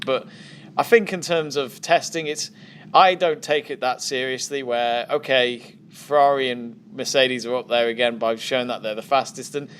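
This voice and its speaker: A man's voice: medium pitch, a little hiss or hum. Joking man rambling opinions. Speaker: podcast guest. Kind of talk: casual chat.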